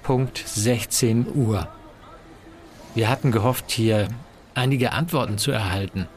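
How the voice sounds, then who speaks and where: An actor playing a courteous, purposeful professor in an amateur science fiction audio drama. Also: male voice, on the low side, measured pace, soft background music, lightly acted. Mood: earnest. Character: composed, courteous male English professor, purposeful. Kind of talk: hobby sci-fi audio drama acting.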